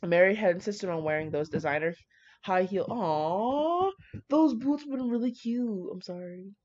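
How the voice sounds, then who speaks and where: A woman's voice: medium pitch, normal speed, darkish tone, dull audio, a little hiss or hum. A young woman reading fan fiction aloud, with brash, slangy, profane casual commentary.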